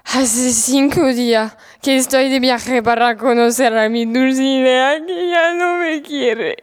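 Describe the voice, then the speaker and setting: Young woman playing a weary, high-voiced lovesick Don Quixote. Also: slow pace, clear, resonant tone, no room sound, studio quality. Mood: weary, despairing. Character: Don Quixote, weary lovesick deluded Spanish-speaking man. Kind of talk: audio drama acting.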